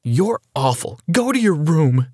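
A man voicing an excitable, delusional adoptive mother in a story, dramatic and swooping between gushing and panic. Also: medium pitch, fast, a little hiss or hum, lightly acted. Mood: cross, bossy.

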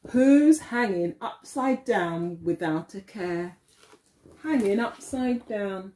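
Slow, very animated woman teacher reading aloud. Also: medium pitch. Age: middle-aged. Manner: expressive, deliberate, questioning delivery for small children. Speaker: early years teacher. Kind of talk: picture-book read-aloud to young children. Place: living room at home, indoors.

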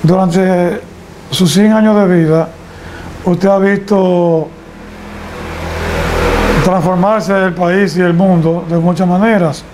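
An older man interviewing an elderly guest at home, asking clear, explanatory questions. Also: high voice, steady tone, slow pace, long pauses, background noise. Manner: clear, explanatory, leads with prompts. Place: living room at home. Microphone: lapel mic.

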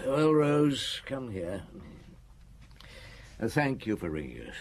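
An actor playing a patient, thoughtful middle-aged chief inspector in a radio drama, murmuring and hesitating between phrases. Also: male voice, mid-range voice, big pitch swings, slow. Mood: gentle.

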